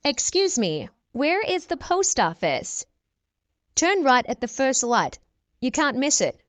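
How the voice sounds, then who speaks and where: A woman teaching English, reading short practice dialogues slowly and clearly and repeating each exchange for learners. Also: sits high, sing-song, dry recording.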